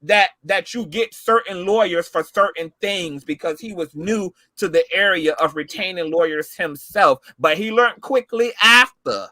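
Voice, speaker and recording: A man's voice: sits high, measured pace, few pauses, bright, forceful tone, no room sound. A man in his late thirties hosting a call-in talk show, chatting in a sassy, bantering way with callers. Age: 30s. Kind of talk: casual chat.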